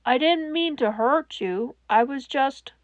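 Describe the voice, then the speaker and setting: A woman voicing a grown male hero with a rough voice, anguished and urgent. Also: sits high, slow pace, no room sound, lo-fi sound.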